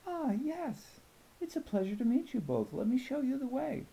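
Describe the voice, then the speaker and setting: A man's voice: very high voice, very animated, moderate pace, creaky, warm tone, breathy edge, voice pushed up, heavily acted, low-quality recording. A man voicing a serious but welcoming woman school principal with a polite, formal manner. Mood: polite welcome.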